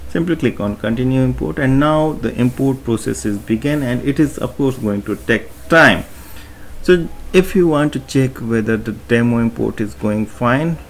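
A man talking through a screen-recorded website tutorial in an unhurried, explanatory way, with many fillers and restarts. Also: mid-range voice, creaky, slight background noise. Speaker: tutorial narrator.

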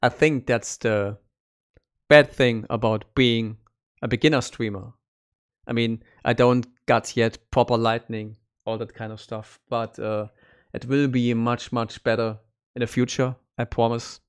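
A man's voice: mid-range voice, unhurried, smooth. German guy in his 30s streaming from his home studio, easygoing chatty english with a heavy accent, close studio mic. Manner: relaxed, chatty, plain phrasing with slips. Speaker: composer streaming his work. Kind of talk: casual live-stream talk. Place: small home studio room. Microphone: studio mic on a stand, headphones on.

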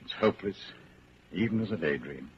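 An actor playing a sombre, measured narrator in a 1940s radio drama, addressing the hero as you. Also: male voice, sits low, lively intonation. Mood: grave, hopeless. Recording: muffled, noisy 1940s radio broadcast recording.

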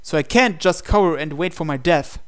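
Higher-voiced man dramatically narrating a story. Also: normal speed. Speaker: let's-play reader of a visual novel. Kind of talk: dramatic first-person story narration read aloud.

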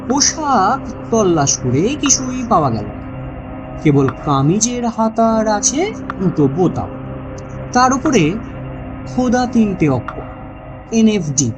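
A woman narrating a mystery story in a dramatic, scripted style with deliberate, expressive phrasing. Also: medium pitch. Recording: music bed behind the voice. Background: background music.

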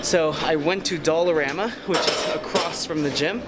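A man's voice: a little high, moderate pace, heavy background noise. A man in his twenties chatting casually to camera as a vlog host, with a jokey, bragging manner. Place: large busy gym indoors. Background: loud music.

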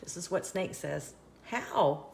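A woman reading a picture book aloud for children, expressive, with questioning asides to young listeners. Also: medium pitch, takes it slowly, slight background noise. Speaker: children's story reader and host.

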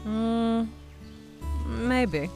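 A woman's voice: fairly high pitch, drawn-out words, gravelly, darkish tone, heavily acted. A woman voicing a big, beefy cartoon lady who talks in a theatrical, teasing way with broken, foreign-style grammar. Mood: teasing, dramatic. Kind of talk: cartoon dub voice acting. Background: background music.

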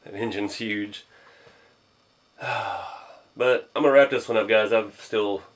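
A man with a low voice and a drawl talks through his hobby build in a home garage. He sounds a little hoarse from a cold. He rambles in a laid-back way, often trailing off with ums and shrugging uncertainty. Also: measured pace. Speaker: RC hobby channel host. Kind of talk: casual build walkthrough.

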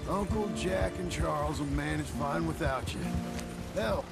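An actor playing a rough, soft-hearted American cowboy turned rancher in a video game, with a gravelly Western drawl. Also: male voice, on the high side, a little slow. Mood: easygoing, tender. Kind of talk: video game cutscene acting. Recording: music behind.

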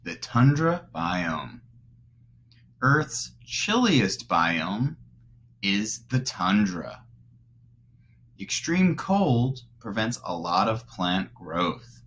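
A man in his twenties, a teacher reading aloud to young children and explaining as he goes, deliberately stressing key words. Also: fairly low pitch, a bit bright, slight background noise. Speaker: first grade teacher.

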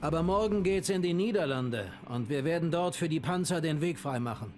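A man's voice: on the high side, lively intonation, brisk, darkish tone, lightly acted. A man playing an earnest, plain-spoken young army sergeant who commands his squad in a war game. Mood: earnest, steady. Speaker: squad leader. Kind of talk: dubbed war game dialogue.

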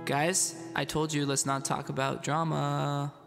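A man's voice: fairly high pitch, little pitch movement, measured pace, vocal fry. A man in his thirties with a head cold, chatting loosely with his stream chat from a dim home room. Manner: loose, rambling, talks straight to chat. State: stuffed up with a cold. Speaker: music livestreamer. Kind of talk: casual livestream chat. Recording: added reverb over guitar music.

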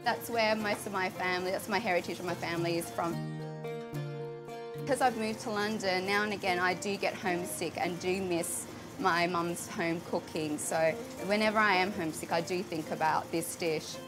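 A woman's voice: on the low side, steady tone, moderate pace, music in the background, low-quality recording. A woman in her thirties with an Australian accent, explaining a family recipe casually as she cooks, with lots of fillers. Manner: chatty, with frequent fillers. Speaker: cooking show contestant. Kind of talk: casual explanation while cooking. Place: home kitchen indoors, later a restaurant kitchen.

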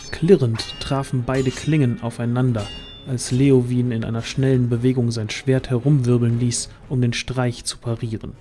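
A man's voice: mid-range voice, little pitch movement, normal speed, dark tone, faint music behind. A man narrating a scripted fantasy audio drama as the storyteller.

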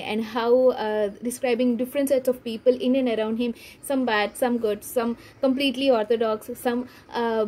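A woman's voice: fairly high pitch, a little mellow, a little hiss or hum. A young woman giving a casual book review to camera, thinking aloud hesitantly in a room at home. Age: young adult. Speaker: book vlogger. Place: small room at home, indoors.

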